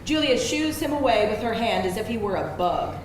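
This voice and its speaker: A woman's voice: mid-range voice, sing-song, fairly quick. A woman reading stage directions as a scripted narrator at a live table read. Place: live event room before an audience. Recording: very echoey live room, faint noise.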